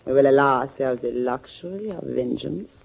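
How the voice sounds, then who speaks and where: An actress playing a determined German underground agent in a 1940s radio thriller, speaking in measured, formal phrases. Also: female voice, extremely low pitch, vocal fry, darkish tone, slightly rough, exaggerated voice. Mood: firm, resolute. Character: German underground woman recounting a vengeance mission, grave and determined. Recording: muffled 1940s radio recording.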